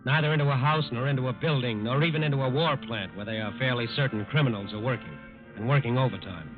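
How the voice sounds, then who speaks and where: A man's voice: medium pitch, fast talker. Old radio crime show narrator guy, serious and emphatic, phone-like sound, music going behind him. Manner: solemn, emphatic. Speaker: drama narrator. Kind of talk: 1940s dramatic scripted narration. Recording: tinny old radio recording. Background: loud dramatic music.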